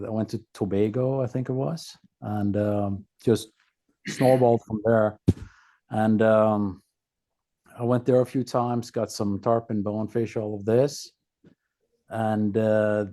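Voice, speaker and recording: A man from Norway with a Norwegian accent, a podcast guest telling his own story casually in a halting, laconic way. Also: lowish voice, little pitch movement, dark tone.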